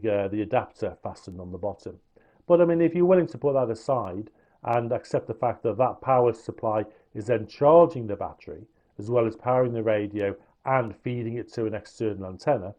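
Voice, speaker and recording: A man's voice: medium pitch, warm tone. A man giving an informal product review and demonstration, explaining features in a matter-of-fact way. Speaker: radio gear reviewer.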